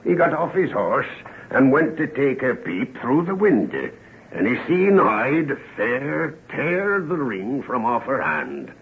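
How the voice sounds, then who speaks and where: A man's voice: medium pitch, huge pitch swings, takes it slowly, exaggerated voice. Rustic gossipy English countryman, tinny old radio. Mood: gossipy, sly. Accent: English West Country. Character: gossipy rustic English villager, a man, full of dark tales. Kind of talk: old-time radio drama acting, storytelling. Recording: tinny, hissy old radio drama recording.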